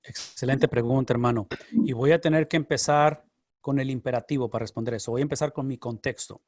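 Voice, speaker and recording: A man's voice: mid-range voice, steady tone, a little fast, darkish tone, no echo, studio quality. A man who is a pastor and Bible teacher, answering class questions in an explanatory way, repeating phrases for emphasis. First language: Spanish.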